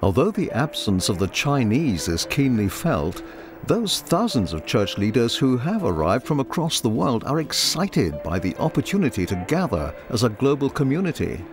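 A man giving scripted documentary narration in a measured voice. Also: mid-range voice, very animated. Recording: voice-over with music behind.

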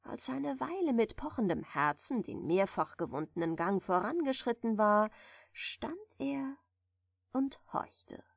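A woman softly reading a bedtime fairy tale in a gentle, soothing manner, at times close to a whisper. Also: a little high, vocal fry, slightly rough, no echo. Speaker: audiobook reader, storyteller.

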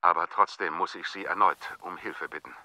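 A grave, courteous businessman on the phone in a radio drama. He is formal and guarded, with a very deep voice and a very sharp, tense edge. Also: fairly even intonation, fairly quick. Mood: grave, urgent. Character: grave, courteous Chinese businessman, reserved and anxious. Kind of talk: radio play phone dialogue.

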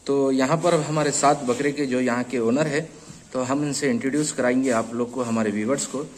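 A man's voice: mid-range voice, fairly even intonation, a little fast, a little hiss or hum. A man with a Hyderabadi accent hosting a casual on-site interview at a goat farm, chatty and repeating answers back. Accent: Hyderabadi Hindi-Urdu. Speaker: host of a goat channel.

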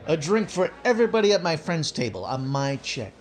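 A man's voice: a little high, sing-song, brisk. A man playing a brash young working-class guy on a date, full of slangy bluster, in an old-time radio comedy. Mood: showy bravado.

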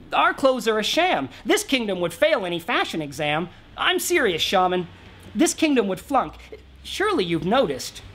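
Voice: high voice, a bit bright, slight background noise, pitched above the performer's own voice, heavily acted. A man voicing a fretful, complaining storybook king in a plaintive, emphatic manner. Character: whining, fretful male king, griping about clothes.

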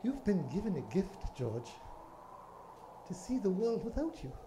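An actor playing an innocent, very old apprentice angel in a radio play, gentle and literal-minded as he explains things. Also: high-pitched, very animated, measured pace, background noise. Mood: gently explaining.

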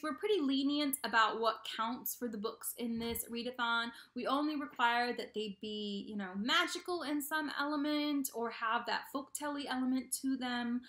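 Young female vlogger, bright and bubbly, casual announcement to camera, recorded in an echoey bedroom. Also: high-pitched. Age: young adult. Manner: bubbly, animated, playful. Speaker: YouTube host of a book channel. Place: small bedroom indoors. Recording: echoey untreated room.

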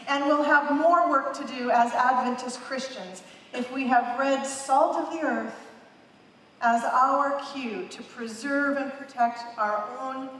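A woman's voice: sits high, fairly bright tone, slight background noise. A woman in her fifties, a pastor preaching a sermon on a large church stage, emphatic and repeating phrases for emphasis. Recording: echoey hall, heard from a distance.

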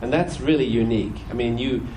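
A man's voice: medium pitch, mellow, poor audio. A middle-voiced British man talks through a Sunday sermon in an easy, joking way, his accent worn down by years in America. He leans on plenty of "you know"s and speaks into a stand microphone on a church stage, with a faint hiss behind him. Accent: British, softened by years in America. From British, raised in several countries. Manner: conversational and good-humored, many "you know"s, puts on quick voices. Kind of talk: Sunday sermon with personal testimony.

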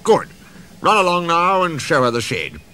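A man's voice: fairly high pitch, huge pitch swings, moderate pace, background noise, voice pushed up. A man playing a pompous, commanding railway controller in a children's storybook, speaking formally and with authority. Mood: brisk, formal.

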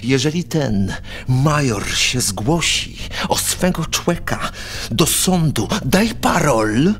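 An actor playing a proud, hot-headed middle-aged count, declaiming verse in a volatile theatrical way for a radio play. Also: male voice, mid-range voice, very animated, takes it slowly, a little hiss or hum. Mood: menacing.

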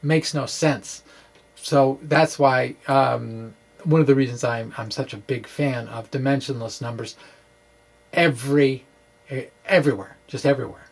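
A middle-aged man giving an informal physics explanation to camera, speaking haltingly in a wry, quirky way with playful asides, into a microphone in a small room. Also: medium pitch, creaky, husky. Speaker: physics blogger and presenter. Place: small indoor room with bookshelves. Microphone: microphone on a stand in front of him.

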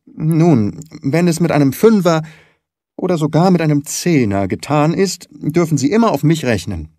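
A man voicing a quarrelsome, stingy little old lord in an audiobook, with a sharp, screechy voice and a tense, commanding manner. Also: a little high, lively intonation, normal speed, no echo, studio quality, pitched above the performer's own voice. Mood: alarmed. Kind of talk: dramatised audiobook reading.

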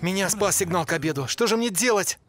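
A man's voice: high voice, expressive, fast. An actor voicing an anxious, complaining teenage boy in a dubbed cartoon. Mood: worried. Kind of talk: animated series dubbing.

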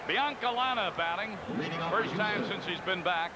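Play-by-play baseball announcer, man, high voice, even and chatty, crowd noise loud behind. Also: measured pace. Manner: calm, conversational, reading stats. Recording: broadcast booth over loud crowd noise. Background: stadium crowd ambience.

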